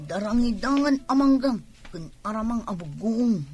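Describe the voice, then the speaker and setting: Petulant young wife, high piercing voice, Ilocano accent, demanding, radio drama, faint music. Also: female voice, animated, moderate pace, darkish tone, breathy edge. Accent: Filipino, Ilocano. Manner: whining, demanding. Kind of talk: Filipino radio drama acting.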